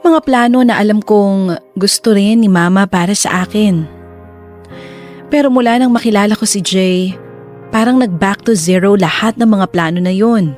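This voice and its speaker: A woman's voice: mid-range voice, normal speed, a little mellow, lightly acted. A woman reading a confused lover's confessional letter as scripted first-person narration in a radio drama. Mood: confiding, troubled. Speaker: letter reader. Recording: music bed under the voice.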